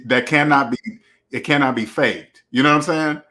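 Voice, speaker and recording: A laid-back man hosting a casual online chat, his voice at a middle pitch. He encourages his guests, throws in slang, and talks easily over a video call. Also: normal speed, a little hiss or hum. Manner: relaxed, warm and encouraging, uses slang. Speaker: show host.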